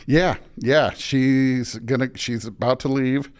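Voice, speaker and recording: A man's voice: mid-range voice, steady tone, takes it slowly, smooth. A middle-aged man with a Midwestern American accent, talking casually and anecdotally as an interview guest, often dropping in "like". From suburban Illinois, United States. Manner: chatty, anecdotal, frequently says "like".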